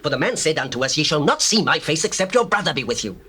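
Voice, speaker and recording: A man's voice: on the high side, sing-song, fast talker, bright, forceful tone. An actor playing a commanding, earnest man of ancient times in a dramatized scripture, declaiming formally and emphatically. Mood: urgent pleading. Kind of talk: dramatized scripture, theatrical acting.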